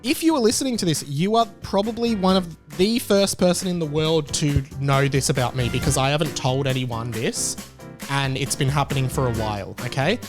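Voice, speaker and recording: A young Australian man hosting a solo podcast, telling family stories with lively acted-out dialogue. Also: fairly high pitch, a little fast, music in the background. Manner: animated storytelling, acts out family dialogue. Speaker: podcast host.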